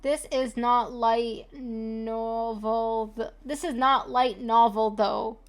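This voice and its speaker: Casting: female game streamer, unhurried and creaky, informal muttered reactions, slightly noisy recording. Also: on the high side, steady tone. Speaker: gaming streamer. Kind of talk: casual game playthrough commentary.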